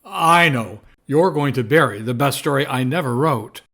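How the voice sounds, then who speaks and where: A man playing an excitable, incredulous newspaper reporter in an audio drama. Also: mid-range voice, normal speed, gravelly. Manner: exclamatory, incredulous.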